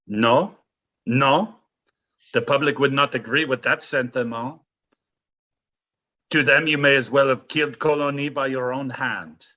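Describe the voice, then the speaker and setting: An actor playing a scheming, zealous French duke in an audio play, speaking in a grandiose, mocking, theatrical way. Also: male voice, medium pitch, sharp tone, muffled recording. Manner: grandiose, mocking, florid delivery with dramatic drawn-out phrases.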